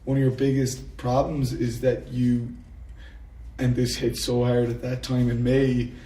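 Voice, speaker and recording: A man's voice: medium pitch, flat delivery, warm tone. A man co-hosting a podcast, telling stories in casual chat and quoting people as he goes. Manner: storytelling, quoting others with quick laughs. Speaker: podcast co-host. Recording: echoey room, mic at a distance.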